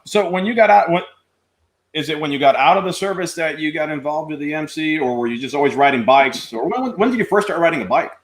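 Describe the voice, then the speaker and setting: A man's voice: on the high side, animated, fast. A man hosting an interview, asking short, friendly questions. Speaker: interview host. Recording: echoey remote voice.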